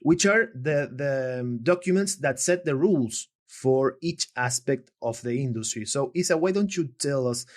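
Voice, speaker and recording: Man hosting, halting and filler-heavy. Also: medium pitch, animated. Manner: hesitant, many fillers and restarts. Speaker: podcast host and journalist. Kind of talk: casual podcast interview.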